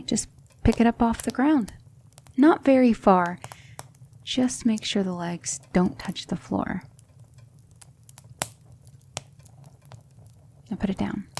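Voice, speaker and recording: A woman playing a patient, composed demon magic teacher in a soft-spoken ASMR roleplay, measured and reassuring. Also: medium pitch, heavily acted. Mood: patient, firm. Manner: measured, instructive, reassuring, with hushed breathy dips.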